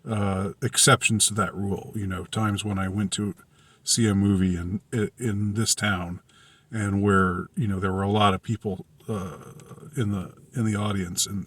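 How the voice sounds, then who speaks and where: A man giving a casual, unscripted movie review in a halting, hesitant way, often trailing off and circling back. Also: lowish voice, fairly bright tone. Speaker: film reviewer.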